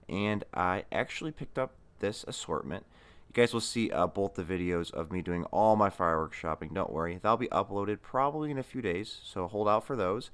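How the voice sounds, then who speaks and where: Very deep-voiced young-sounding casual American man. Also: a bit bright, smooth. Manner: enthusiastic, informal, rambling. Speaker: fireworks channel host. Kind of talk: casual unboxing commentary.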